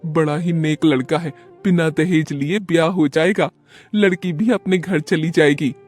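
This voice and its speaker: A fond old grandmother from a storybook cartoon, played by a woman, keen on arranging matches. She speaks with insistent affection, pitched up from plain narration, over music. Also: fairly even intonation, a little slow, a little mellow. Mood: eager, reassuring. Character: kindly elderly grandmother, persuasive busybody matchmaker.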